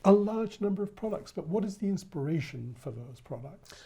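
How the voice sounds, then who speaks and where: A man, a magazine editor, asking measured questions in an interview and prompting the guest with short affirmations. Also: sits high, lively intonation, vocal fry, mellow, slightly rough. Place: listening room.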